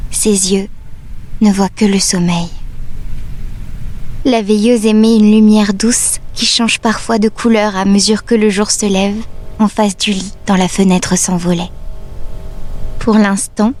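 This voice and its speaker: A woman plays a tender, watchful doll telling a scripted audio story. The delivery is measured and literary, in a mid-pitched female voice, with music underneath. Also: a little mellow, natural voice. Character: doll narrator watching over a sleeping little girl, tender, watchful.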